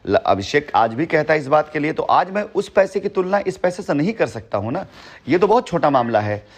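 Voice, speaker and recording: A man's voice: fairly high pitch, sing-song, a little fast. A middle-aged man heading a company, talking assertively and boastfully on a phone call, repeating his points. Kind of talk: heated phone conversation.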